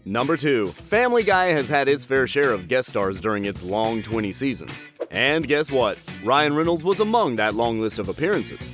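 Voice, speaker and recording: A man's voice: medium pitch, very animated, moderate pace, hardly any pauses, a bit bright, faint music behind. A man reading a scripted pop-culture countdown as a video narrator.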